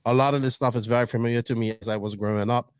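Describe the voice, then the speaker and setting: Animated teasing podcast host. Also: male voice, medium pitch, very fast, smooth, no room sound, studio quality. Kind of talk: casual chat.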